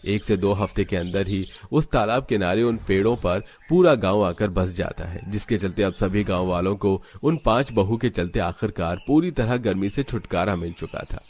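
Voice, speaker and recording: A man's voice: on the low side, moderate pace, few pauses, darkish tone, a little hiss or hum. A man giving scripted narration for a cartoon village story.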